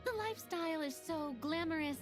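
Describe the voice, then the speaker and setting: High, expressive, polite young lady. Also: brisk. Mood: polite, surprised. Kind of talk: anime game voice acting. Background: music.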